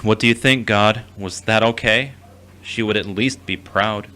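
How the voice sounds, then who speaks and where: A man acting in an audio drama as a gentle, earnest, emotionally fragile young man, speaking in a measured, reassuring way. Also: lowish voice, fairly even intonation. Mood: reflective.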